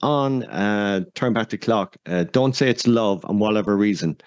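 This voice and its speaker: A man's voice: fairly low pitch, moderate pace, dry recording, clean studio sound. A man conversationally interviewing a guest as a podcast host.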